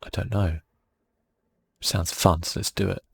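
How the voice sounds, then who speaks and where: Deep, gravelly, husky man, hushed. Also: flat delivery, measured pace. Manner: casual, musing, thinking aloud. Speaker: gaming channel host. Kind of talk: ASMR video game commentary.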